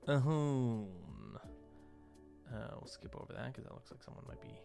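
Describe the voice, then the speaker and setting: A man's voice: mid-range voice, normal speed, gravelly, mellow, faint music behind. A man casually narrating his work on a livestream, musing and thinking aloud in hesitant fragments. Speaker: streamer and visual artist. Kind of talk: casual livestream commentary while working on screen.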